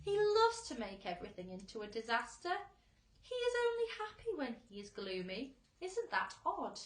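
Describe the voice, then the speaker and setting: A woman's voice: a little high, big pitch swings, moderate pace, roomy sound, pitched above the performer's own voice. A woman voicing a chatty, kindly female ladybird in a storybook, talking in a confiding, questioning way.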